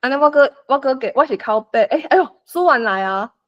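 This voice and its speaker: A host with a Taiwanese accent casually organizing people in an online meeting, coaxing and animated. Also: sits high, fairly quick, dull audio. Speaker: host assigning roles for a script read-along.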